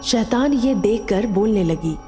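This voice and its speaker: A woman's voice: mid-range voice, animated, a little slow, warm tone, big-room sound, faint music behind. A woman narrating a scripted story as a storyteller.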